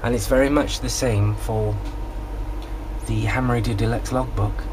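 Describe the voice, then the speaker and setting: A man giving an informal, plain step-by-step software tutorial as a radio hobbyist, recorded on a handheld camera in a small room. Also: medium pitch, steady tone, unhurried, background noise. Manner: plain, instructional. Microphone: handheld camera or phone microphone.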